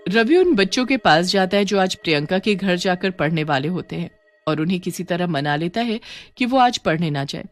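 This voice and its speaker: A woman's voice: fairly low pitch, expressive, moderate pace, faint music behind. Woman narrating a horror story.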